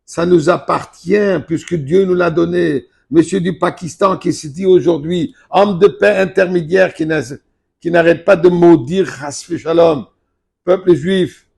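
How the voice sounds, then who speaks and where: Older rabbi guy teaching torah, gravelly voice, gets forceful. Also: high voice, lively intonation, a little slow. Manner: emphatic, rising to forceful outbursts. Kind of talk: Torah lesson.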